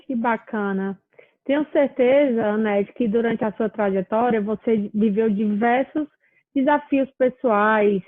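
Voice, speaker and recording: A woman's voice: fairly high pitch, measured pace, no room sound. A woman hosting an interview, asking long, supportive questions. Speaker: host. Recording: thin, phone-like, dry.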